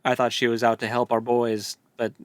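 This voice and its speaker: A man's voice: mid-range voice, monotone, normal speed, dry recording. A man co-hosting a book podcast, chatting casually and retelling scenes in an informal, jokey way with acted-out dialogue. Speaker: co-host of a book read-along podcast. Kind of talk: casual book discussion chat.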